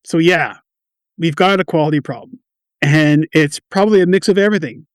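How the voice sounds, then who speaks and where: A man's voice: fairly high pitch, fairly quick, dry recording, studio quality, close to an everyday voice. A man playing a stressed, defensive quality assurance manager in a radio play, touchy and wry. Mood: touchy, worn down. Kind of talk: amateur radio play dialogue.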